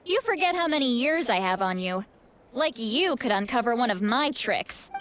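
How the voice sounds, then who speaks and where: High-voiced, fiery scolding granny leader. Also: female voice, expressive, normal speed, a bit bright. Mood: stern. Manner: bossy, teasing, blunt. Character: fiery, scolding woman leader, a tough granny with hidden fondness. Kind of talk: video game voice acting. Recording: music playing behind the voice.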